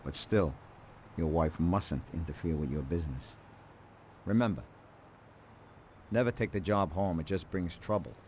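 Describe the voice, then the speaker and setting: A man playing a calm, fatherly mob adviser in a crime video game. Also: deep voice, a little slow, long pauses, slight background noise. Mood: earnest advice. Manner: paternal, advising. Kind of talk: measured dramatic dialogue.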